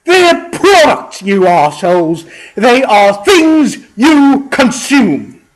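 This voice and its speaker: A man's voice: high voice, low-quality recording. A man delivering a scripted, profane comedic rant in an exasperated, emphatic and scornful way, drawing out words theatrically.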